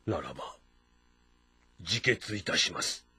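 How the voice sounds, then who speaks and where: An actor playing a guilt-ridden, formal military strategist in a dramatic game, restrained and solemn. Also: male voice, sits low, lively intonation, takes it slowly, bright, forceful tone, slightly rough, no echo, full character voice. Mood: grave, despairing. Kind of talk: Japanese audio drama game acting. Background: music.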